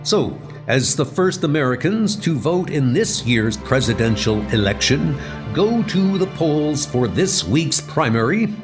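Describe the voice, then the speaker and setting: A composed heroic man , acted by a male reader, makes an earnest public declaration in audio comic style. His speech is formal and emphatic, unhurried yet varied in pitch, with music clearly behind it. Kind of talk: audio comic book acting.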